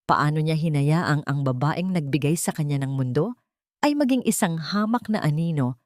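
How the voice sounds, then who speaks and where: Female storyteller, Filipino accent, lower register, solemn measured dramatic narration, dry close recording. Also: lively intonation, darkish tone, dry recording.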